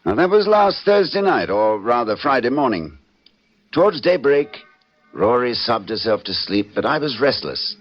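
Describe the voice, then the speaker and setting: Troubled husband in vintage radio drama. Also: male voice, mid-range voice, very animated. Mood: shaken, reflective. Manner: theatrical, halting with repeated words. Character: troubled husband, stage performer, confessing to police, darkly wry. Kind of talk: old-time radio drama acting, narrating a confession. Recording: dull vintage radio recording with music.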